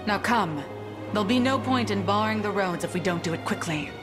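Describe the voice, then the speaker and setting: A proud female wyvern rider and commander played by a woman, speaking at a middling pitch. Her delivery is formal, stern and measured in fantasy-game style. Loud music plays under her voice. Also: animated, close to an everyday voice. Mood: defiant, resolute. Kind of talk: dramatic fantasy game voice acting. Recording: loud orchestral music behind.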